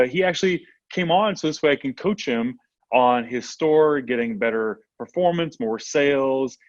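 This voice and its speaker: An upbeat man hosting a business podcast, speaking at a middling pitch with enthusiasm. His voice comes through a slightly dull, lo-fi recording in a dry, close space. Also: dry recording. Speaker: podcast host and e-commerce coach.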